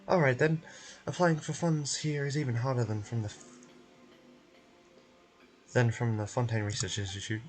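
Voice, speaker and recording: A man's voice: mid-range voice, natural voice. A man voicing a chatty game research technician who explains things and grumbles but helps. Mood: brisk, pleased. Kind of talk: game character voice acting.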